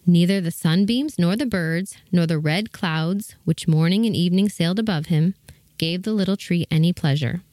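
A woman's voice: lowish voice, normal speed, dark tone, no echo. A woman reading a children's fairy tale aloud as the story's narrator.

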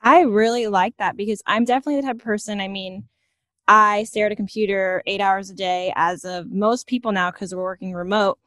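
A woman's voice: mid-range voice, steady tone, a little fast, fairly bright tone, no echo, clean studio sound. A woman hosting a casual interview in a chatty, agreeable way. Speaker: interviewer, host.

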